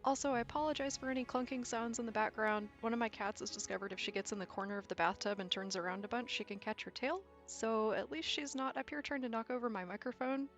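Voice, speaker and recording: A woman's voice: fairly high pitch, fairly even intonation, fast talker, hardly any pauses. A woman with a slightly scratchy, allergy-affected voice narrates an explanatory video essay in an articulate, conversational way. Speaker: video creator, game lore explainer. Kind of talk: scripted video essay narration with casual asides. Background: background music.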